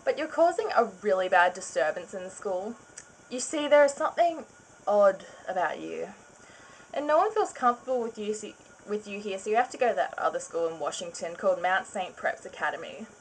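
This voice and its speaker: A woman's voice: medium pitch, lively intonation, brisk, a little hiss or hum, close to an everyday voice, low-quality recording. A woman voicing an uneasy, stiff school principal breaking bad news. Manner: hesitant, stiff.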